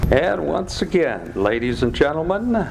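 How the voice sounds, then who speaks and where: Brief: male announcer, vintage 1940s radio ads, polished sales patter, highly varied melody, slightly high pitch. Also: big pitch swings, a little slow, vocal fry, noisy background. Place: live radio drama stage performance in a café.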